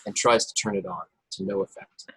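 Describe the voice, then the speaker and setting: Low, husky male narrator, very dry sound. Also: a little fast, dry recording, rough recording. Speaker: narrator reading stage directions. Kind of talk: scripted narration in a live table read. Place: remote video call, dry sound.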